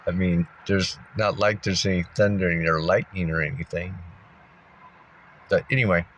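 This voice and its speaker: A man's voice: deep voice, fairly even intonation, normal speed, fairly bright tone, a little hiss or hum. A man vlogging in a meandering, casual monologue, congested and coughing now and then, thinking aloud with many fillers. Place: sitting in a dusty spot, maybe in a car.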